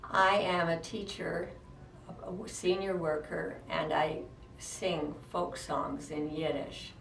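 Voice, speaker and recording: Older woman with a low, raspy, fading voice. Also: level tone, slow, a bit bright, far from the mic, slight background noise. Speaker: interviewee in a clip. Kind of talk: answering interview questions. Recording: echoey room, off the mic.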